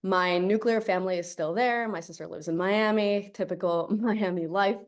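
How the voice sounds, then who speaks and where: A woman's voice: mid-range voice, normal speed. A young woman from Colombia, a native Spanish speaker, giving a chatty, upbeat guest talk over a video call and laughing often.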